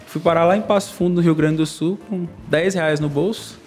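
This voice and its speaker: A young man from Brazil, a podcast guest, telling his life story in a fast, slangy, candid way. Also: fairly high pitch, fairly even intonation, darkish tone, soft background music. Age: young adult. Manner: fast, slangy, candid, laughs between lines. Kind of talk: casual storytelling interview.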